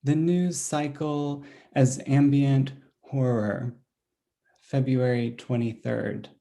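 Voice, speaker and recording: A man reading another poet's diary-like poem aloud, slow and deliberate, closing each entry with a date. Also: medium pitch, vocal fry. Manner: deliberate, dated entries read flatly.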